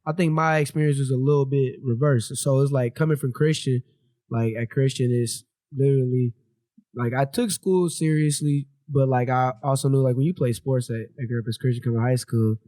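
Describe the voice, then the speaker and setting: A man's voice: medium pitch, steady tone, moderate pace, mellow, no echo. A man in his twenties, a podcast guest, telling stories in casual chat with slang and lots of restarts. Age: 20s. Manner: loose, rambling storytelling with slang and restarts.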